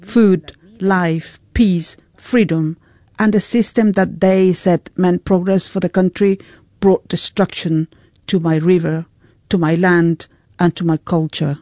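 A woman's voice: lowish voice, fairly even intonation, slow, warm tone, dry recording. A woman interpreting an interview guest's answers into English, phrase by phrase. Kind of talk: consecutive interpreting.

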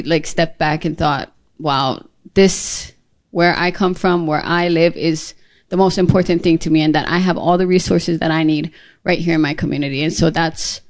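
A woman's voice: lowish voice, a little mellow. A young woman from Hawaii, a native Hawaiian speaker, telling personal stories casually as a singer-songwriter being interviewed. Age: young adult.

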